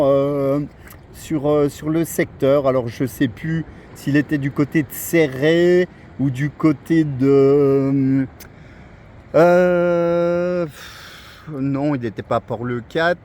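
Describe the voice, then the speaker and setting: Hesitant middle-aged man, soft mellow voice. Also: a little high, takes it slowly, slight background noise. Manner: hesitant, searching for words. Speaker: amateur CB radio operator. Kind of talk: casual radio chat.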